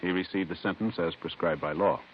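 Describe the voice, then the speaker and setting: A man's voice: deep voice, fairly even intonation, a little fast, a little hiss or hum. An American man announcing in a formal 1950s radio style. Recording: dull 1950s radio recording.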